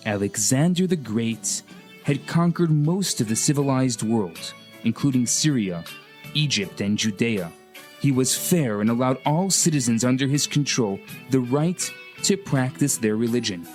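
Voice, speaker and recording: A man's voice: mid-range voice, lively intonation, unhurried, darkish tone. Male radio host narrating over music. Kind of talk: scripted radio narration, storytelling.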